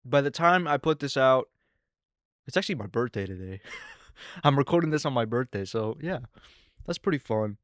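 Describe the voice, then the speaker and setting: An eighteen-year-old man hosting his own podcast, rambling casually with lots of fillers and excited asides. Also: mid-range voice, normal speed, pauses often, no echo, clean studio sound. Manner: rambling, filler-heavy, excitable teen talk. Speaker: podcast host. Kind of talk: casual solo podcast chat.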